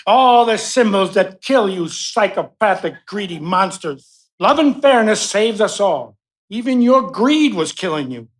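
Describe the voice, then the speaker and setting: A desperate, defiant captive patient, played by an actor in a radio play. The delivery is slow and emphatic, protesting with animated swings of pitch. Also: lightly acted. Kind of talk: live radio play acting.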